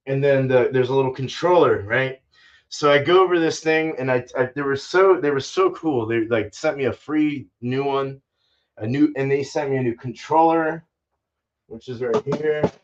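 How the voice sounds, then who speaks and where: Older man, dull lo-fi livestream sound. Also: medium pitch. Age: older, fifties or sixties. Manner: laid-back, salty-mouthed, says dude and man often. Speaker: livestream host, homebrewer. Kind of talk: casual rambling livestream chat. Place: small indoor home brewing room.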